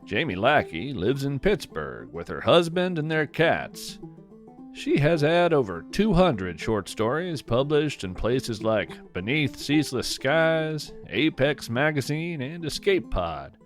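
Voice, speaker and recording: A man narrating a scripted podcast as its host, in a measured, reflective way. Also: medium pitch, sing-song. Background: plucked guitar music.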